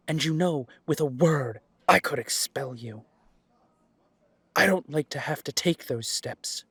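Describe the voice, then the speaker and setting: Man voicing a measured, firm elf shopkeeper. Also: fairly high pitch, slightly rough, no echo. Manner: measured, polite but firm. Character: composed elf shopkeeper, firm and quietly protective. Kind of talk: audio drama acting.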